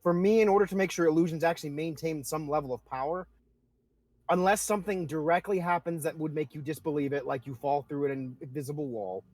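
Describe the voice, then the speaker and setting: A man's voice: a little high, a little fast, a little mellow, no echo. A man talking casually and swearing freely as game master in a livestreamed tabletop game. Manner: informal, sweary.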